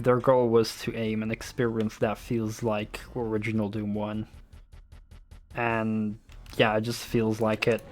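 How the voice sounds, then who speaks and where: A young man from the Netherlands with a light Dutch accent, streaming a shooter game and chatting casually with his viewers in a rambling, loose way, swearing now and then. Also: lowish voice. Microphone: desktop USB microphone.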